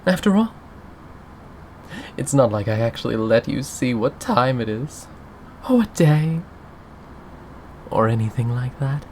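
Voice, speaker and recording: A man's voice: medium pitch, lively intonation, a little slow, long pauses, a little mellow, a little hiss or hum. A man playing a possessive, mocking yandere captor in an ASMR roleplay, with a drawling, theatrical, condescending manner. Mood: mocking, smug. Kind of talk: scripted ASMR roleplay audio drama.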